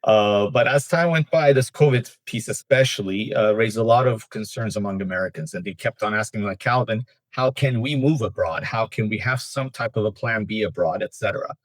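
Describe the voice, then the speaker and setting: A man's voice: on the low side, fairly quick, few pauses, no room sound, low-quality recording. A man who works as an immigration attorney, telling anecdotes as a podcast guest with frequent "uh" fillers. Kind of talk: casual podcast interview.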